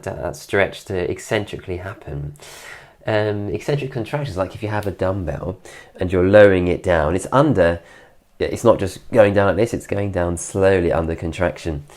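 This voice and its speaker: A man recovering from an illness, his voice deeper than usual and his nose congested, giving an admiring, relaxed commentary over a dance video. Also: normal speed. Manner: admiring, keeps praising details. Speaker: dance teacher reviewing a dance video.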